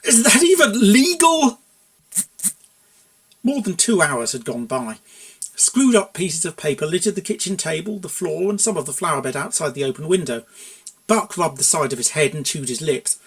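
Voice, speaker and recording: A narrator reading a scripted story aloud in an expressive style. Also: medium pitch, fairly quick. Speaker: narrator, fan fiction reader.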